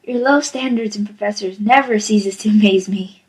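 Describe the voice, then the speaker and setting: A woman's voice: mid-range voice, moderate pace, breathy edge, natural voice, rough recording. A woman voicing a sceptical female pony, dryly sarcastic and disapproving, in a fan audio drama. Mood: dry, exasperated. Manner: dry, sarcastic questioning.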